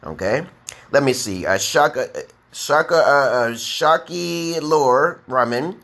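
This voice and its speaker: A man with a New York accent teaching beginner English on a live stream, speaking slowly and emphatically and checking in with "okay?". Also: mid-range voice, animated, gravelly, a bit bright. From United States. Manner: drawn-out, emphatic, repeats letters and "okay?". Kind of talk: slow deliberate live-stream lesson.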